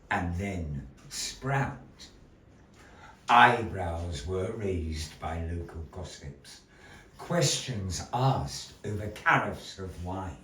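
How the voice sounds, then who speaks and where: Slow, theatrical male storyteller in an echoey gallery. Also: deep voice, far from the mic. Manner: slow, theatrical storyteller with lively rises and falls. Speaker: puppet show narrator. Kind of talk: storytelling narration to a live audience. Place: gallery space. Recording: echoey gallery room, voice from afar, faint noise.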